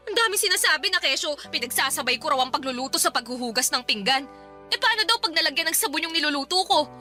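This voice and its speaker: A woman's voice: very high-pitched, pushed and bright, exaggerated voice. A woman playing a defiant, whiny young Filipino wife who complains and talks back in a radio drama. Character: defiant young pregnant live-in partner, fed up, whiny.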